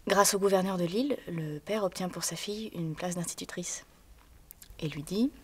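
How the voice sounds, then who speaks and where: An actress delivering a theatrical monologue in a hushed voice that often drops to a whisper, pausing as she tells a story. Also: lowish voice, slightly rough.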